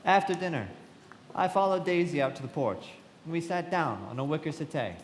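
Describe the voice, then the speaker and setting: A man's voice: a little high, expressive, brisk, slight background noise, natural voice. An actor playing a reflective, well-bred young bond salesman narrating his memories in a live radio play. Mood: polite. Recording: echoey hall, live stage.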